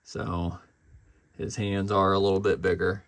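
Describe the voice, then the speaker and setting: A man's voice: deep voice, level tone, a little slow. A man casually reviewing a collectible in an unscripted, conversational way.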